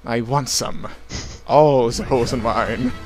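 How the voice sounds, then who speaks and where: A man's voice: medium pitch, a little slow. Jokey man doing game commentary over music. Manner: jokey, profane, playfully mimics game lines. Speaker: let's play commentator and player. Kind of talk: casual joking game commentary. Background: fighting game music.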